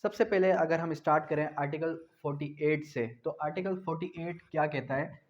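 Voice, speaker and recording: A man's voice: fairly high pitch, brisk. A man with a Pakistani accent giving an explanatory law lecture in a didactic way, repeating key phrases for emphasis. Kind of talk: explanatory lecture, reading out legal articles and explaining them. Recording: dull, lo-fi audio.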